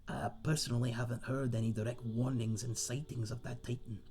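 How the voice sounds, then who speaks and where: A man playing a friendly tavern owner and former sailor, husky and chatty, telling tales in a folksy seafaring dialect in a fantasy audio roleplay. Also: medium pitch, level tone, fairly quick, a little mellow, lightly acted. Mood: reflective storytelling. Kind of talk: ASMR roleplay monologue in a fantasy setting.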